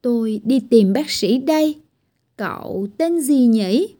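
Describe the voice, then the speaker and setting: A woman voicing a gentle but bossy blue-eyed tiny girl who fusses over a patient in a children's storybook. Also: on the high side, animated, takes it slowly. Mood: caring. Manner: scolding yet caring.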